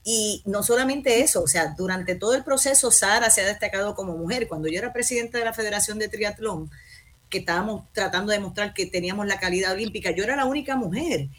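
A woman's voice: mid-range voice, fast, a bit bright. A woman who leads a sports federation, speaking passionately and emphatically on a panel. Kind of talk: panel discussion.

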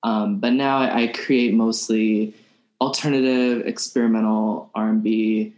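A young man from Alabama, a podcast guest musician, talking in a soft-spoken, hesitant way with many ums. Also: lowish voice, fairly even intonation, unhurried, highs cut off. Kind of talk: casual interview chat. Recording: roomy echo.

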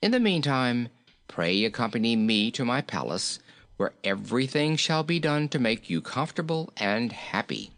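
A narrator voicing a dignified island king from a storybook, formal, polite and measured. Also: mid-range voice, sing-song, a bit bright. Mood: gracious. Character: courteous, dignified male island king and host.

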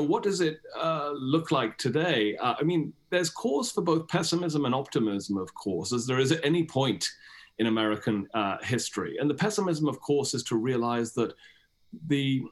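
A man with a British accent, speaking as an academic guest in an explanatory, discursive and emphatic interview style. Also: medium pitch.

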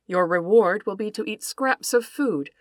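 A woman voicing a solemn male divine creator in a storybook fable, pronouncing fates in a measured way. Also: mid-range voice, dry recording, studio quality, natural voice.

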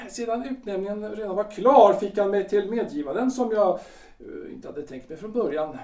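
Brief: bustling politician husband in his 40s played by a man, high-pitched, hesitant, roomy distant sound. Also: a little mellow. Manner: bustling, full of ums and hesitations. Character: newly appointed politician husband, pleased and fussy. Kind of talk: audio drama. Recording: roomy echo, off-mic.

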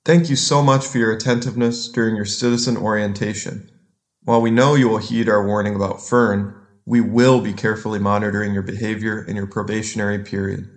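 An actor playing a composed, officious dystopian government enforcer in an audio drama, measured in his delivery. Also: lowish voice, steady tone, natural voice. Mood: official, controlled.

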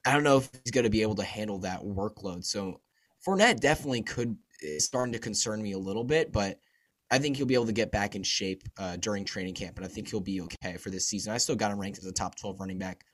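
A young man, hoarse and froggy from a sore throat, chatting eagerly as a podcast guest and repeating himself. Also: fairly low pitch, quick pace, fairly bright tone. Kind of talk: casual fantasy sports podcast chat.

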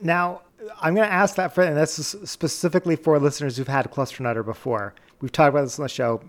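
A man's voice: on the high side, fairly even intonation, quick pace. A man co-hosting a beer podcast, chatting casually with a playful, teasing manner. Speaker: podcast co-host. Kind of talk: casual chat.

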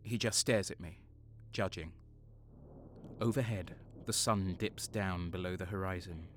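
A man as a slow, cold-hearted soldier narrator. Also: low voice, a little hiss or hum. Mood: cold, brooding. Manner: measured, deliberate, intense. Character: cold-hearted sadistic traitor soldier narrating his own story. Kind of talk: dramatic first-person audio drama narration.

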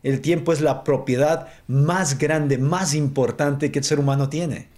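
A man hosting a conversational interview, explaining points in a measured way and posing rhetorical questions. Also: a little high, steady tone, darkish tone. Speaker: interview host.

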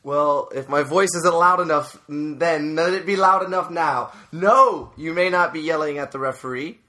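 Bright-voiced man co-hosting a parenting chat. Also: on the high side, normal speed. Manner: earnest, rises to emphatic when making a point; frequent fillers and restarts. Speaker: youth soccer coach and podcast co-host. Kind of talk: casual advice chat.

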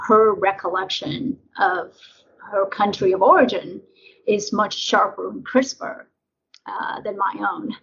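A woman telling her life story in an interview, halting and full of fillers as she reflects. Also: medium pitch, vocal fry, a little hiss or hum. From Vietnam, raised in Nebraska. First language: English; Vietnamese basic. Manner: halting, many fillers and restarts. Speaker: interview guest. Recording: dull lo-fi audio.

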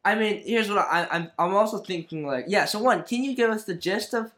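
A man's voice: high-pitched, brisk, fairly bright tone. A young man conducting a casual interview, informal and rambling, with lots of filler words as he works out his questions.

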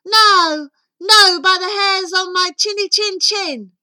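A woman's voice: very high-pitched, takes it slowly, bright, forceful tone, pitched above the performer's own voice, heavily acted. A woman voicing a frightened little male pig in a storybook tale, squealing and pleading in urgent, emphatic cries. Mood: defiant. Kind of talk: dramatised verse storytelling.

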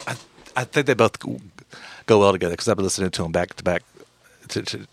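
Easygoing male podcast host, low voice. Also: quick pace, gravelly. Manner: rambling, friendly, many restarts. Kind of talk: casual podcast chat.

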